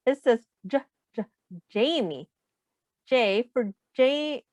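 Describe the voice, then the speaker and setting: A woman reading a children's storybook aloud in an expressive, simple teacher's manner, pausing to put questions to young listeners. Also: high voice, no echo.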